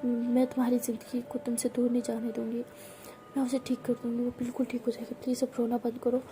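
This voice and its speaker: A woman voicing a gentle woman doctor who soothes a crying friend in a soft, calming way. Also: fairly high pitch, fairly quick, slight background noise, natural voice. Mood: soothing.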